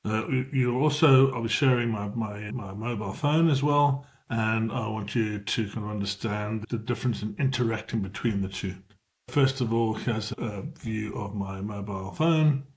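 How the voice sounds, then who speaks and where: A man's voice: on the low side, normal speed. A middle-aged man with a South African accent, casually walking viewers through a tutorial and correcting himself as he goes. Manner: informal, explanatory, self-correcting as he talks. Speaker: tutorial presenter. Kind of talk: casual screen-share tutorial.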